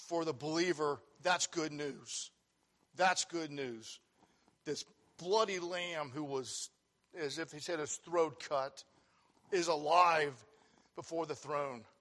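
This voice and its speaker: A man preaching a sermon, reading scripture aloud and explaining it in an earnest, loud voice. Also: fairly high pitch. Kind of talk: sermon, Bible teaching.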